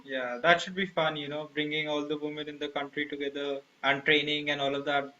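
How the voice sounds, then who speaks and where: Bright flat-voiced male host. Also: on the high side, a little fast, muffled recording, slight background noise. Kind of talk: casual interview chat.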